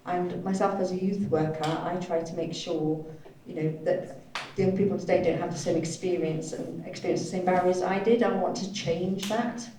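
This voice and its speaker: A woman's voice: lowish voice, steady tone, moderate pace, mellow. A woman working as a live sign language interpreter, voicing speakers in a panel discussion. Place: echoey event room, indoors. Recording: very echoey hall, distant mic, faint noise.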